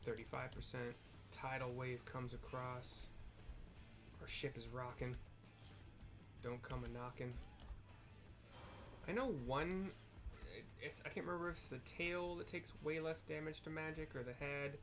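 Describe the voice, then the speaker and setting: A man's voice: mid-range voice, fairly even intonation, unhurried, pauses often, music in the background. A man narrating his gameplay in casual commentary, chatty and descriptive with playful asides. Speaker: gaming video narrator.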